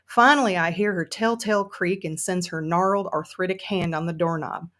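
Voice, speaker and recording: A woman's voice: on the low side, moderate pace, fairly bright tone, lightly acted. Woman acting a fed-up, sardonic Southern woman. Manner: sardonic, emphatic. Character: seething middle-aged Southern woman, sarcastic and fed-up narrator. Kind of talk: dramatic first-person audiobook-style reading.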